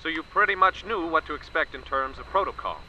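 An actor playing a seasoned male war correspondent reporting live, plain-spoken with halting restarts. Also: on the high side, animated, lo-fi sound, close to an everyday voice. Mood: calm. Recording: loud vehicle and wind noise.

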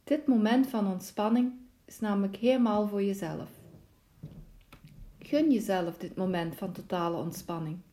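A woman leading a guided relaxation meditation as a yoga nidra instructor, giving calm, soothing, measured instructions. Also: medium pitch.

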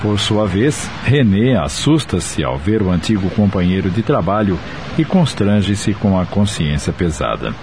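A male radio drama narrator and announcer with a fairly low voice. His scripted delivery is animated, with lively pitch movement. Music plays behind him. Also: a little slow, noisy background.

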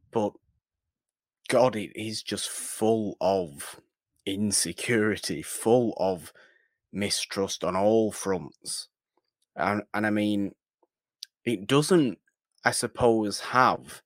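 A man co-hosting a podcast, giving casual film commentary in deliberate, halting, emphatic phrases. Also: lowish voice, no echo, clean studio sound. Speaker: podcast co-host.

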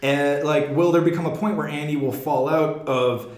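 A man's voice: fairly high pitch, fairly even intonation, normal speed, darkish tone. Echoey man in a casual podcast discussion. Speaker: podcast host. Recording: echoey, reverberant room.

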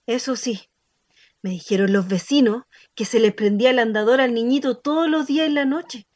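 Actress as high-voiced Chilean neighbourhood gossip. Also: female voice, normal speed, darkish tone, dry recording, lightly acted. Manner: colloquial, blunt. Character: gossiping neighbour woman, frank, earthy, matter-of-fact. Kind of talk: radio drama acting.

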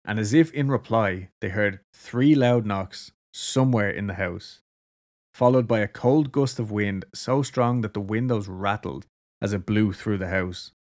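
A man's voice: fairly low pitch, unhurried, darkish tone. A man with an Irish accent casually telling a story to a co-host, laughing often and swearing freely. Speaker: podcast co-host.

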